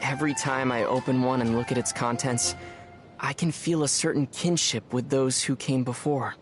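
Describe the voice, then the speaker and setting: A man voicing a calm, dry-witted, gently teasing young traveler in a video game. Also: mid-range voice, moderate pace, over music, natural voice. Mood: reflective. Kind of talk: video game character acting.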